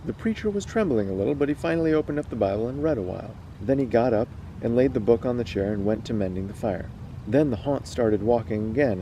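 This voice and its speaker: A man's voice: medium pitch, warm tone, a little hiss or hum, rough recording. A man hosting a casual read-aloud, moving between storytelling and chat. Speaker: host reading stories aloud.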